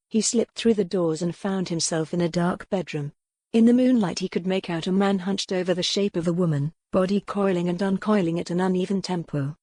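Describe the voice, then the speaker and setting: A woman's voice: lowish voice, warm tone, no echo, studio quality. A woman narrating scripted dramatic fiction as an audiobook.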